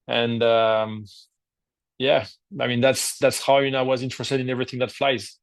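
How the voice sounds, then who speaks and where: A man's voice: mid-range voice, level tone, moderate pace, dry recording. A man who grew up in Africa, a guest on a podcast, answering in a hesitant way with frequent fillers and restarts. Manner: hesitant, many fillers and restarts, searching for words. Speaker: guest, aviation executive. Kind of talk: podcast interview.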